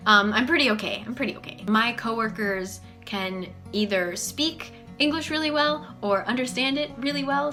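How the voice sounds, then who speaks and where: A woman in her twenties from the United States, chatting casually to camera in a small room, full of "like" and "um", animated. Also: medium pitch. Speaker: vlogger. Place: small bedroom office, indoors.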